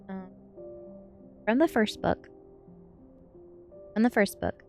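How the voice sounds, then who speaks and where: A woman's voice: mid-range voice, darkish tone. A woman streamer reading a book ASMR style and chatting casually with viewers in a playful way. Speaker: VTuber streamer.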